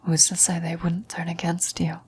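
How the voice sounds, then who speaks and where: A woman voicing a vampire's dying former lover in an ASMR roleplay, a wistful, knowing woman who whispers in a breathy, solemn, deliberate way. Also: fairly low pitch, monotone, mellow. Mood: grave warning.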